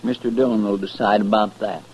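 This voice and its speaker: A man's voice: on the low side, steady tone, a little fast, darkish tone, natural voice. A man acting a loyal, plain-spoken marshal's sidekick in a 1950s western radio drama, folksy and emphatic. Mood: firm, scornful. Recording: muffled, faintly noisy old radio recording.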